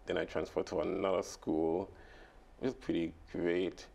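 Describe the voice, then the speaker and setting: Brief: young man, very low and gravelly voice, halting and laughing as a radio guest. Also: little pitch movement, darkish tone. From British Virgin Islands. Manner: halting, laughs often, many fillers. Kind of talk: casual radio interview. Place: radio studio.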